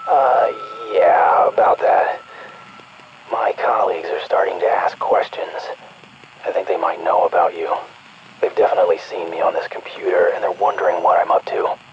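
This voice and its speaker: Deep-voiced, uneasy scientist. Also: male voice, fairly even intonation, normal speed. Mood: nervous. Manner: uneasy, conversational, grumbling. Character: nervous, resentful male scientist in secret collusion. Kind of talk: video game radio transmission acting. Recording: muffled, noisy radio transmission.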